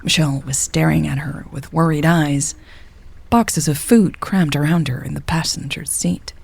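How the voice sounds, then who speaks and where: A woman's voice: low voice, normal speed, vocal fry, darkish tone, breathy edge. A woman reading a scripted story as a narrator, voicing the dialogue as she goes. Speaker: audio fiction narrator.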